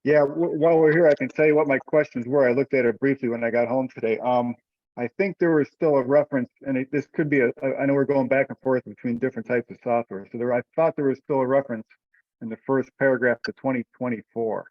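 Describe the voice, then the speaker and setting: A man's voice: mid-range voice, fairly even intonation, fast talker, no room sound. A man chairing a group teleconference in a conversational, somewhat rambling and hesitant way. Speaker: meeting chair. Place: remote group conference call. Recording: dull, lo-fi conference call audio.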